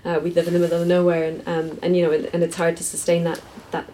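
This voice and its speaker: A woman's voice: lowish voice, completely flat delivery, fast talker, warm tone, a little hiss or hum, poor audio. A woman novelist with a Cork accent, talking hesitantly and self-deprecatingly at a live bookshop interview. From East Cork, Ireland. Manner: hesitant, self-deprecating, many restarts and laughs. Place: bookshop event.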